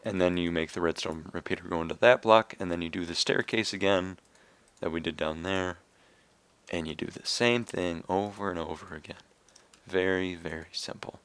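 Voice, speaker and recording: A young man talks unhurriedly through a game tutorial, pausing on fillers as he goes. His low voice is raspy and a little hoarse, yet comes through smooth and close on a dry, roomless desk mic. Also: no room sound. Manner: relaxed, explaining step by step with fillers. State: hoarse from coughing. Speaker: video game tutorial maker. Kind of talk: casual screen-recorded game tutorial.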